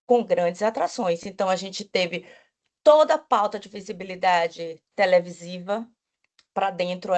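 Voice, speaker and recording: A woman's voice: medium pitch, a little mellow. A woman talks through her agency's work at a panel. She is enthusiastic and often restarts her words, adding "né?" as she goes. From Bahia, Brazil. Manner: enthusiastic, with frequent restarts and "né?" tags. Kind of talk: panel talk.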